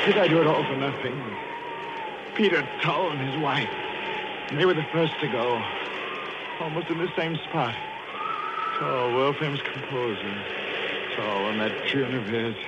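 A man's voice: mid-range voice, measured pace, long pauses, lightly acted. An actor playing a desperate male killer on a life raft in a 1940s radio drama, tense and haunted. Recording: noisy dull 1940s radio broadcast.

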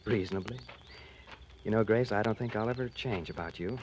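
A faintly noisy 1940s radio recording. An actor plays an urbane magazine editor with a fairly low voice, arch and lovelorn in his delivery. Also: brisk, darkish tone, muffled recording, close to an everyday voice. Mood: wistful.

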